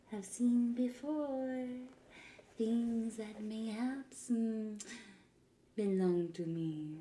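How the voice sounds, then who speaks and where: A woman playing a mocking, menacing pirate queen in a soft-spoken ASMR roleplay, breathy and often whispering close to the mic, drawing out and repeating her words. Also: on the high side, slight background noise. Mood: accusing, smug. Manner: slow, drawn-out, repeating words, theatrical. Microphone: close ASMR microphone.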